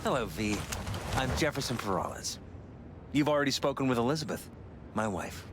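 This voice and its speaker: An actor playing a courteous, articulate politician and husband in measured video game dialogue. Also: male voice, mid-range voice, big pitch swings, close to an everyday voice. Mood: affable. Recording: loud vehicle and street noise behind.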